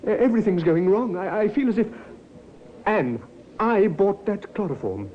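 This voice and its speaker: An actor playing a frightened, meek young parson in a 1950s radio drama, anxious and stammering. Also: male voice, sits high, expressive, moderate pace, slight background noise. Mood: panicked. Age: young adult. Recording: muffled 1950s radio recording.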